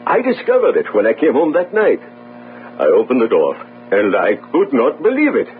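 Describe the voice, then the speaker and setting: Anxious guilty sailor in a radio play, voice jumping up and down, thin phone-like sound with a bit of hiss. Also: male voice, mid-range voice, huge pitch swings, normal speed. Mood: haunted recounting. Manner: earnest, defensive, emphatic. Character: poor, guilt-ridden sailor, anxious and pleading. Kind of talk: radio drama acting. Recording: narrow phone-like radio audio, faint noise.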